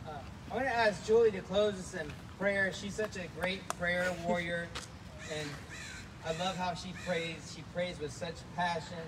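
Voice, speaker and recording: A male pastor making brisk, friendly closing announcements at a church service, heard from away from the microphone. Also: sits high, fairly even intonation, a crowd in the background. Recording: distant voice, away from the mic.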